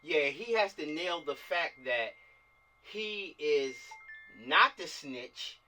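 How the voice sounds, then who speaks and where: A man's voice: a little high, expressive, takes it slowly, sharp tone, faint music behind. A man speaking casually and emphatically on a video call, directing a group discussion with slang and repeated words for stress. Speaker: film director leading a table read. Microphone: video call microphone. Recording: distant video-call audio.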